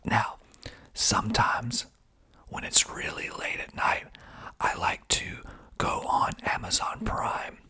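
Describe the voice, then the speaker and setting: A man from the southern United States whispering in a breathy, deliberate, conversational way as an ASMR channel host. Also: fairly low pitch, pushed and bright. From southern United States (Georgia). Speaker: filmmaker hosting his own channel.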